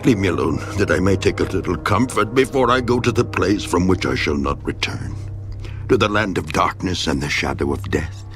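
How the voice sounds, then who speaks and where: An actor playing an anguished, despairing man who pleads bitterly with God, theatrical in a dramatised scripture reading, swinging from hushed tones to crying out. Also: deep voice, lively intonation, moderate pace, faint music behind.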